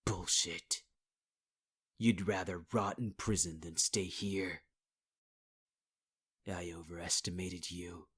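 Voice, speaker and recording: A man's voice: low voice, steady tone, slow pace, pauses often, fairly bright tone, slightly rough. A man performing a possessive male villain in an ASMR audio roleplay, speaking close to the mic in a taunting, half-whispered voice and calling the listener sweetheart. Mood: angry.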